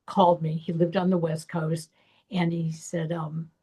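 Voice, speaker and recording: A woman with a gravelly voice hosting a podcast, telling a personal story in a reflective way. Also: deep voice, flat delivery, measured pace, warm tone. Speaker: podcast host. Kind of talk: personal conversation.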